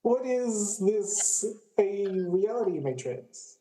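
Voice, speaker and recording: A man's voice: high voice, expressive, slow pace, mellow, rough recording. A man playing a laid-back, skeptical friend with a casual way of talking, in an amateur radio play. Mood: incredulous.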